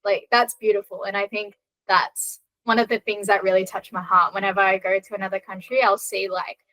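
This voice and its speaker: A woman's voice: mid-range voice, flat delivery, a little fast, bright, forceful tone, no echo, low-quality recording. A woman from Australia, a podcast guest talking in an earnest, reflective way with many fillers. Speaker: podcast guest, content creator. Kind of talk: casual podcast chat.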